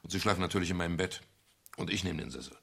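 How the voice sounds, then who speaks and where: Deep gravelly middle-aged detective. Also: male voice, very fast, fairly bright tone, heavily acted. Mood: concerned. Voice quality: gravelly, husky. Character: weary, gentlemanly middle-aged private detective, narrating his story. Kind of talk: German radio crime drama narration and dialogue.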